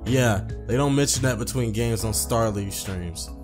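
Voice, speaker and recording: A man's voice: medium pitch, normal speed, music in the background. A man hosting a gaming video, reading story text aloud in an informal, slangy way with casual commentary. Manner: slangy, informal, repeats phrases when misreading.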